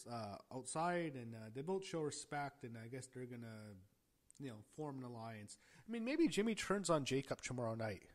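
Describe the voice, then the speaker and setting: Under-the-weather man recapping a show. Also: mid-range voice. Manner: rambling, frequent self-corrections and fillers; gasping and clearing throat at times. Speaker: wrestling show reviewer.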